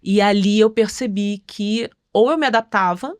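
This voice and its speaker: A middle-aged Brazilian woman telling stories conversationally as an interview guest, articulate and expressive, acting out quotes as she goes. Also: medium pitch, normal speed, dry recording, clean studio sound. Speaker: podcast guest.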